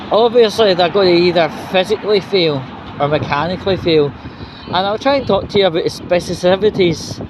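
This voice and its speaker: A Scottish man rambling casually as he vlogs outdoors, sweary and irreverent, with halting pauses. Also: fairly high pitch. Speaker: vlogger. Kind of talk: rambling casual walking vlog, chatty. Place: outdoors on a city street. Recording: noisy outdoor street with traffic.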